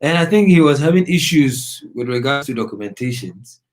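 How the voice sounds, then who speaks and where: A man's voice: medium pitch, expressive, fairly quick. A man who grew up in Ghana, chatting casually on a live stream, thoughtful and often searching for his words.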